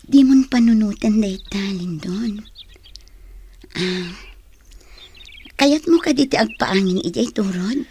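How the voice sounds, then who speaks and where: A woman playing a sweet, modest young tribeswoman in a radio drama, with soft, breathy delivery. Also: mid-range voice, expressive, takes it slowly, long pauses, a little hiss or hum. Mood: soft, coy.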